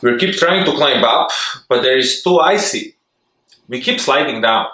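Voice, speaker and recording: A man voicing a nervous young male chicken mushroom, with high squeaky worried cries. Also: animated, normal speed, pushed and bright, echoey room. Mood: distressed.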